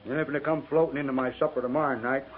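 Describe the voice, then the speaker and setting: An actor playing a simple, food-loving cavalry trooper in a 1950s radio western, dry and folksy, with a rural American accent. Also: male voice, medium pitch, fairly even intonation, rapid-fire, lightly acted. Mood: dry, grumbling. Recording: tinny, faintly noisy 1950s radio recording.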